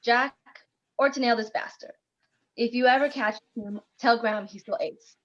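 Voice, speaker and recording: A woman reads a dogged forensic examiner in a fairly high voice. The delivery is matter-of-fact, like a script read, over slightly dull call audio. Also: fairly even intonation, moderate pace, fairly bright tone, close to an everyday voice. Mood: matter-of-fact, determined. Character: dogged forensic fingerprint examiner, dryly determined. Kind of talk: screenplay table read acting.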